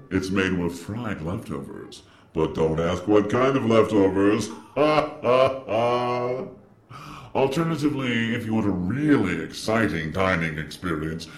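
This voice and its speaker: A man's voice: fairly low pitch, reverberant, close to an everyday voice. A man playing an unctuous, ghoulish waiter in a comic audio drama, delivering theatrical sales patter. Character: unctuous ghoulish waiter peddling revolting food in hell.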